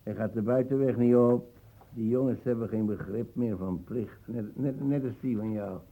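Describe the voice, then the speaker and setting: An actor playing a proud, defensive old colonial ex-soldier uncle in a radio play, talking in hesitant, scornful bursts. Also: male voice, mid-range voice, normal speed, narrow, tinny audio, lightly acted. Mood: evasive, grumbling. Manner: hesitant fillers, tag words, scornful laughing.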